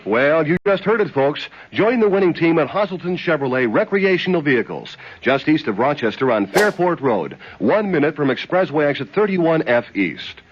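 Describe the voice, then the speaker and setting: A man's voice: on the high side, sing-song, normal speed, hardly any pauses. A man reading a local radio advertisement in a folksy, salesmanlike manner. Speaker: commercial announcer. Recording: muffled radio recording with faint noise.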